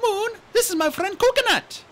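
A chirpy, excitable young dragoness from a storybook, pitched far up and bright, as a man puts her on. She talks loud and bold, her voice leaping all over the place with cheeky confidence. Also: moderate pace. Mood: bubbly. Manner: bubbly, bold and chatty.

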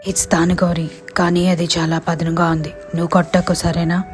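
A woman's voice: fairly low pitch, flat delivery, moderate pace, faint music behind, lightly acted. A woman voicing a sensible, principled elderly village wife and grandmother in a moral story cartoon. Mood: cautioning.

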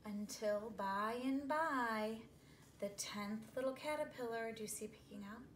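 Woman reading to children, roomy sound. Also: a little high. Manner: expressive, drawn-out phrasing, asking young listeners questions. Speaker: read-aloud storyteller for children. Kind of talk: children's picture book read-aloud. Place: small living room, seated on a couch. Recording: roomy home recording with background noise.

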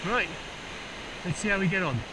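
Middle-aged angler with a high voice, wry and relaxed, recorded on a body cam over roaring waves. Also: male voice, moderate pace. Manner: relaxed, wry, understated commentary. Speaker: fishing vlogger. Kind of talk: casual narration while fishing. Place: open shingle beach by crashing surf. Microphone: action camera worn on the body. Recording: outdoor action cam with loud surf. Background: loud waves and wind.

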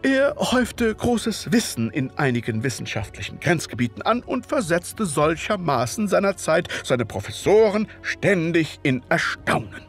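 A man playing a wordy, genteel Victorian gentleman chronicler in an audio drama, formal and politely hesitant as he narrates. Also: on the high side, sing-song, measured pace, hardly any pauses, faint music behind. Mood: genteel, musing.

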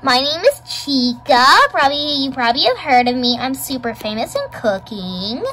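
A child playing a bright, high, bossy chicken chef. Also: animated, normal speed. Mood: self-important. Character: bossy, self-important animatronic chicken chef and announcer. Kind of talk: improvised character play, presenting cooks.

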